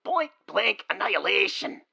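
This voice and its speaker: A man voicing a reckless, bombastic old man from a tabletop game story, with a gravelly, raspy, forceful voice. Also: high voice, very animated, normal speed, voice pushed up, full character voice. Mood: gruff, triumphant. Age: older.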